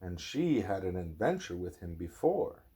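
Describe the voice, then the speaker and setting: A man reading a children's storybook aloud as a father would, with expressive, measured narration and dramatic pauses. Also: deep voice, slightly rough.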